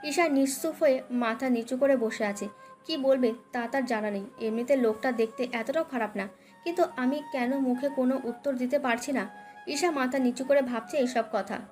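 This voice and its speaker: A woman's voice: sits high, moderate pace, darkish tone. A woman narrating a dramatic romance story as a scripted audio tale. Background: background music.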